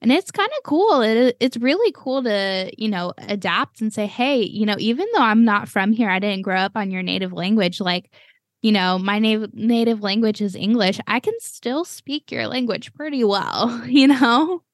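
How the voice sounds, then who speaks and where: A woman's voice: fairly high pitch, expressive, moderate pace, no echo, clean studio sound. A young American woman hosting a podcast, chatting casually with lots of fillers and little laughs. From United States. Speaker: podcast host. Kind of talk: casual chat.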